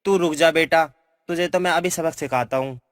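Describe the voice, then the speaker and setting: A woman's voice: low-pitched, normal speed, dry recording, studio quality, big-room sound, voice pushed down, lightly acted. A woman voicing a threatening, insulting male street thug in a cartoon story. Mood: menacing. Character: rough knife-wielding street thug man.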